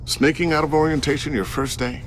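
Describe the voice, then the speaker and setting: An actor playing a middle-aged, wry and protective tech expert and father in a video game, with a gravelly voice. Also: a little high, steady tone, a little fast, a little hiss or hum, natural voice.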